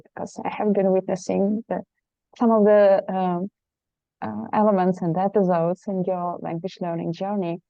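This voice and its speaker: A woman's voice: mid-range voice, mellow, no room sound, lo-fi sound. A woman in the audience asking a long, winding question after an academic talk, with many fillers. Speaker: audience member, language teacher.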